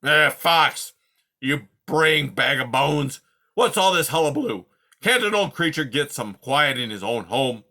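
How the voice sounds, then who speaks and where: A man's voice: medium pitch, lively intonation, moderate pace, bright, forceful tone. A man doing a grumpy old porcupine. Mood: bellowing. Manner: gruff, growling, insulting. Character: grumpy old male porcupine, loud and scornful.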